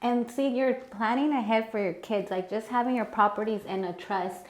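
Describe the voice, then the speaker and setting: A woman's voice: fairly high pitch, steady tone, moderate pace. Friendly woman host, echoey room. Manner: friendly, encouraging, frequent "like" fillers. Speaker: podcast host. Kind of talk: casual interview hosting.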